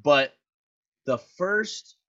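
A man hosting a casual movie podcast, rambling and excitable, with many fillers. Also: a little high, extremely slow, dull audio.

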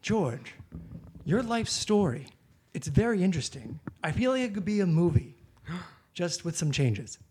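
A man's voice: sits high, sing-song, a little slow, a little mellow. A man playing a slick, pushy Hollywood agent in an improvised comedy radio play. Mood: pitching. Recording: slightly noisy live comedy recording.

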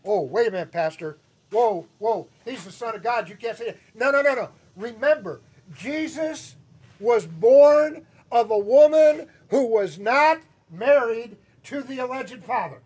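A man's voice: very high voice, lively intonation, a little slow, a little mellow, breathy edge. A man from Chicago with a Chicago accent, preaching a sermon as pastor in a conversational, teasing way and asking the congregation questions. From Chicago, Midwest US. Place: church sanctuary.

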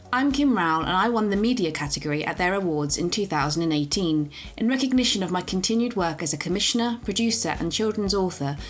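A woman with a British accent hosting a podcast, reading a scripted introduction in a measured, formal way. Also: lowish voice. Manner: measured, formal read delivery. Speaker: podcast host.

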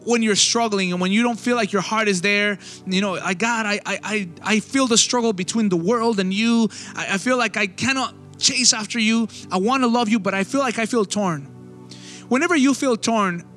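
A young man preaching a sermon as pastor, conversational with quick asides, in a church auditorium on a stage microphone. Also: high voice, bright, forceful tone, big-room sound.

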